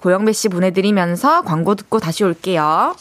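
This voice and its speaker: A woman's voice: mid-range voice, lively intonation, moderate pace. A woman hosting a radio show, chatting casually and playfully and laughing easily with a guest.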